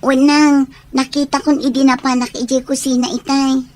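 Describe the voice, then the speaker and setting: A woman's voice: sits high, flat delivery, normal speed, a little mellow, natural voice. A woman playing a sincere, wounded young daughter with a Filipino accent in an Ilocano radio drama. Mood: everyday, willing.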